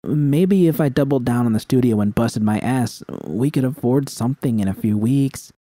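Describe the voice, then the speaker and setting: A man's voice: mid-range voice, normal speed, mellow, no echo. A man reading a first-person dramatic story as its narrator. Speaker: narrator reading fan fiction.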